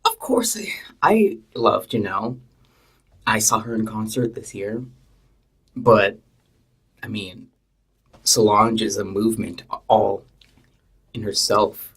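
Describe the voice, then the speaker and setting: A young man with a quiet voice, guesting on a casual podcast, playful and joking, laughing often, in a small booth. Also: on the low side, lively intonation, takes it slowly, pauses often, distant mic, poor audio. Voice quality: quiet, husky.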